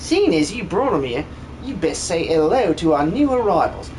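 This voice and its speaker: A man voicing a brash, bossy but warm-hearted young woman miner in a video game fan dub, blunt and slangy. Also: on the high side, big pitch swings, moderate pace, slight background noise, low-quality recording. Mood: teasing.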